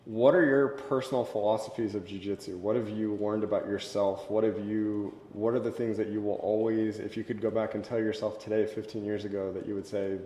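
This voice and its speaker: An earnest man hosting a podcast, with a low, mellow voice. He asks long, careful questions and stays respectful of his guest. The recording sounds roomy, with a long reverb tail and a faint background hum. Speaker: podcast host, interviewer. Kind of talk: casual podcast interview.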